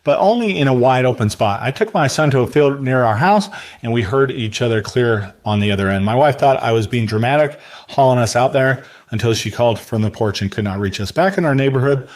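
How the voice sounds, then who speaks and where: A man's voice: medium pitch, animated, fairly quick. Man giving a salesy product review. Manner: salesy, addresses the viewer directly. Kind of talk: scripted product review countdown.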